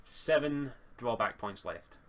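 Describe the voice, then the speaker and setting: A man speaking casually as game master of a tabletop roleplaying game, halting and repeating words as he thinks. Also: medium pitch, expressive, fairly bright tone. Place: home online voice call stream.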